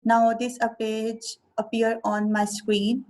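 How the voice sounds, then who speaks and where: Flat-toned Urdu-speaking woman explaining software. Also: a little high. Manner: slow, careful step-by-step explaining. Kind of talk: screen-recorded software tutorial.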